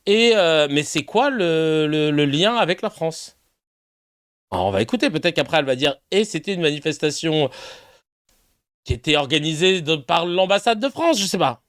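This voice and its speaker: Animated, loud-laughing man host. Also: a little high, normal speed, no echo, clean studio sound. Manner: animated, laughing, swearing, mocking. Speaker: streamer host reacting to clips. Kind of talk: casual live reaction commentary.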